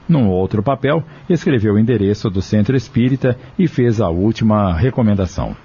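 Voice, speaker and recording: A man's voice: fairly low pitch, expressive, brisk, dark tone, breathy edge, muffled recording. A man narrating a scripted dramatic story as the narrator of an audio drama.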